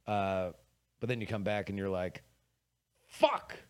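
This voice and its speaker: A man's voice: deep voice, steady tone, normal speed, clean tone. A man co-hosting a casual chat podcast, rambling and trailing off.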